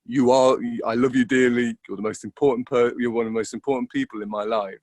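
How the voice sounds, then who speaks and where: A man, an actor being interviewed, talking reflectively and casually in a halting way, often repeating words as he thinks. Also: mid-range voice, fairly even intonation, darkish tone, no echo.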